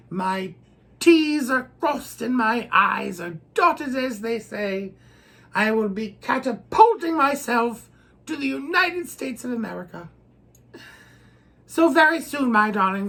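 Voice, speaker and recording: A woman's voice: a little high, expressive, slow, creaky, fairly bright tone, heavily acted. A woman playing a grand, bawdy English duchess with a posh accent, theatrically answering fan letters in a comic monologue full of affectionate endearments. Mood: grand, confident. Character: posh, bawdy, self-adoring English duchess, middle-aged or older woman. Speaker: host.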